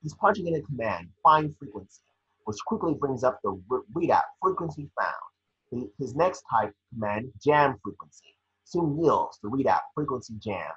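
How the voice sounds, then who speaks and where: A man with a husky, raspy voice reading scene descriptions for a screenplay table read in a brisk, vivid storytelling way. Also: medium pitch, expressive, a bit bright. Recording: dull lo-fi call audio.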